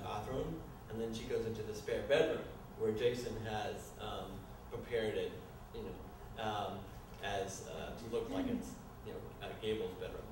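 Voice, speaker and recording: A man narrating a staged screenplay reading in a loose, improvised, halting way, often stumbling over his words. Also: fairly low pitch. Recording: echoey room, distant mic, noisy.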